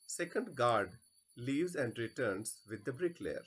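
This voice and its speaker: A man reading a play script and its stage directions aloud as an English teacher, in a deliberate, theatrical way. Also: medium pitch, sing-song, a bit bright, husky.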